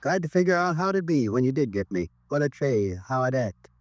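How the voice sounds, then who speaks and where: A man's voice: mid-range voice, big pitch swings, brisk, no room sound, lo-fi sound, close to an everyday voice. An actor playing a remorseful American robber in his seventies, rambling wistfully in a 1950s radio drama. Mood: anxious, resigned. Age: 70s. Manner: rambling, wistful, clipped folksy sentences. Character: weary, remorseful 72-year-old robber confessing to police.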